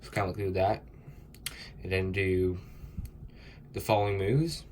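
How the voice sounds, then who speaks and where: A man's voice: sits low, steady tone, measured pace, darkish tone, slight background noise. A young man with a stuffy, congested voice from a cold, casually explaining puzzle steps in a loose, rambling way. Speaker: cubing tutorial host.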